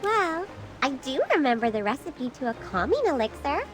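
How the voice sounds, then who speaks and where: A young magical apothecary from a fantasy game, kindly and polite. Her voice is extremely high and swings expressively, with prominent music playing behind it. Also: sing-song, moderate pace, low-quality recording. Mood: thoughtful, helpful.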